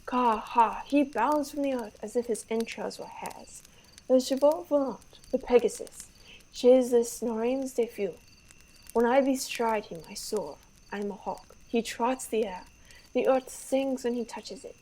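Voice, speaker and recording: A woman's voice: a little high, measured pace, darkish tone, rough recording. A young woman acting a vain, horse-besotted young French prince in a Shakespeare radio play, grandiose and declamatory. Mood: boastful, rapturous.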